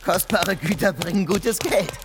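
An actor in a German radio play playing a dutiful young merchant's clerk, evasive and discreet, with polite formal speech. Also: male voice, high voice, unhurried, background noise. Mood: eager, explaining.

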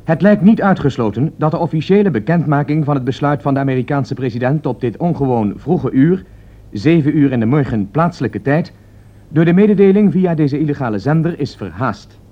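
A man playing a grave, official newsreader, delivering a formal and deliberate bulletin in a radio drama. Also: fairly high pitch, lively intonation. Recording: muffled old radio recording.

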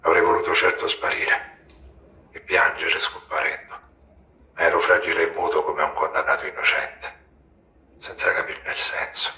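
Man, literary recitation, very bright tense tone, monotone delivery, roomy echo. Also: sits low, normal speed, long pauses. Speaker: reader of poetic prose. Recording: roomy, echoing recording.

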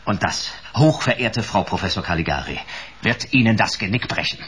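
Deep-voiced, laconic private eye. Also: male voice, animated, moderate pace, fairly bright tone, slightly rough. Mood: defiant, dry. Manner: laconic, dry, sardonic. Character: hard-boiled, wry male private detective of the future. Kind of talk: 1980s German radio crime drama acting with first-person noir narration.

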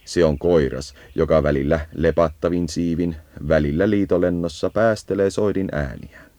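A man's voice: low voice, steady tone, mellow, dry recording. A man narrating a scripted educational birdsong guide, leading the listener as if on a field walk.

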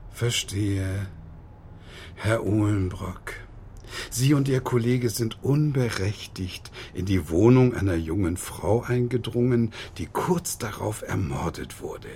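Police inspector, low lively voice, dry, sardonic, probing, radio crime drama, noisy background. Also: male voice, takes it slowly. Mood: stern, dry.

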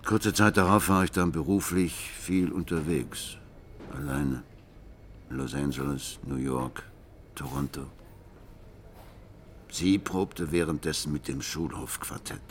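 An actor playing a brooding, jealous husband who recounts his marriage in a measured, reflective way in a radio play. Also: extremely low pitch, a little hiss or hum. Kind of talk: radio play narration and dialogue. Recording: music behind the voice.

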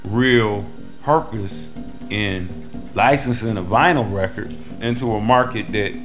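A man's voice: lowish voice, unhurried. A man talking candidly, as in an interview, in a blunt, colloquial, emphatic way. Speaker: musician and record label member. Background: music.

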